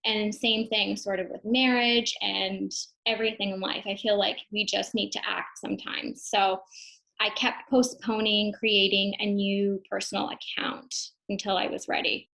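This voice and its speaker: A woman's voice: mid-range voice, level tone, measured pace, vocal fry, a bit bright, poor audio. A young woman, a mother and online business owner, telling her story in a casual, reflective way. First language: English. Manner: casual, reflective, many fillers and restarts. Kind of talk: personal testimonial talk.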